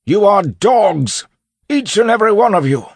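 A man's voice: high voice, sing-song, normal speed, slightly rough, voice pushed up, heavily acted. A man voicing a proud, indignant aging lord and former constable who bellows defiantly, in audiobook style. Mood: outraged, defiant. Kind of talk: audiobook character acting.

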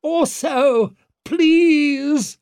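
A man voicing a desperate, self-pitying accused nobleman in an audiobook, shrill, blustering and wheedling. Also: sing-song, takes it slowly, creaky, dry recording, clean studio sound, exaggerated voice. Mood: panicked wailing. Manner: wheedling, melodramatic, indignant.

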